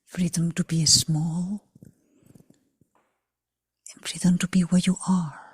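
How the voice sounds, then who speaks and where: A woman leading a guided meditation for a group in a hushed, breathy near whisper, unhurried, with long pauses between short phrases. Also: fairly low pitch, flat delivery, no room sound, clean studio sound. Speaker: meditation teacher.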